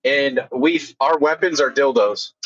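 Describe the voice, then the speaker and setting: Husky, slow-talking man on dull audio. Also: mid-range voice. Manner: crude, joking. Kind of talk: casual joking chat. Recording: dull lo-fi voice chat.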